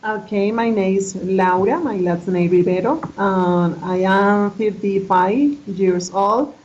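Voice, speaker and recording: Slow, hesitant woman learner, muffled audio. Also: medium pitch, a little mellow. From Colombia. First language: Spanish. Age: 30s. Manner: hesitant, halting English with many fillers. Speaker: student. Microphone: video call.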